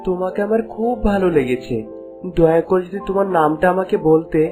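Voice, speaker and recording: A man's voice: high-pitched, fairly quick, music in the background, natural voice. A man voicing a courteous, lovestruck young prince in a fairy-tale cartoon, earnest and romantic. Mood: smitten. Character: smitten young prince posing as a merchant, courteous.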